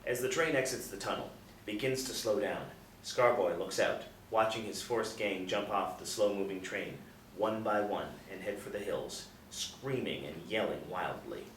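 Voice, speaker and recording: Lowish male script narrator, echoey room. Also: moderate pace, a bit bright. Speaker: narrator reading stage directions. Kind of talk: scripted narration at a table read. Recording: echoey room, distant, faint noise.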